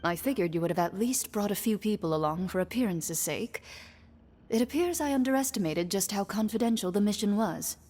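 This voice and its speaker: Game role: actress as composed female official, medium pitch, polite dry wit, long reverb, faint noise. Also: lively intonation, fairly quick, darkish tone, natural voice. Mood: wry, polite. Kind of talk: game voice acting.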